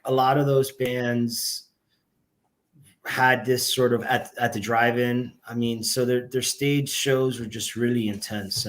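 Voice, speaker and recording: Young guy in a podcast interview, laid back, lots of um and you know, rambly. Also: medium pitch. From Miami, Florida. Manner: relaxed, rambling, many fillers and restarts. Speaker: band member, guest. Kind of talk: casual interview talk.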